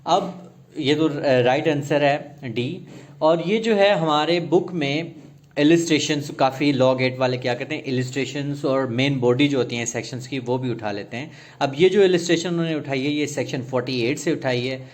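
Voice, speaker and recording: A man's voice: medium pitch, moderate pace. A man with a Pakistani accent teaching exam preparation, reading questions and options aloud and explaining them step by step while mixing in English terms. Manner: code-switches into English legal terms, paraphrasing step by step. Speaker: law exam tutor.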